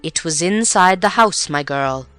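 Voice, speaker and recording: A fretful older aunt with a somewhat raised, emphatic voice, as a woman reading the tale puts her on. She frets and dramatizes, her pitch swinging widely. The reading is dry, with faint music under it. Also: animated, unhurried, a bit bright, smooth, no echo. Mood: scornful.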